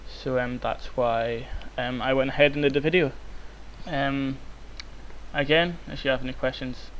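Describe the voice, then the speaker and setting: A young man, hoarse and croaky from illness, rambling with many ums through a software tutorial. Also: medium pitch, fairly even intonation, normal speed, low-quality recording. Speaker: tutorial maker. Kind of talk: screen-recorded software tutorial. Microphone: cheap microphone. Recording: faint hiss, cheap mic.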